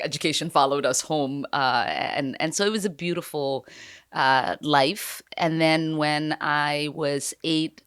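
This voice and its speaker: A woman in her forties from Somalia, a congresswoman talking through her life in a thoughtful, unhurried interview, with many fillers and the odd soft laugh. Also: deep voice, no room sound, studio quality. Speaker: guest congresswoman. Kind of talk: conversational interview.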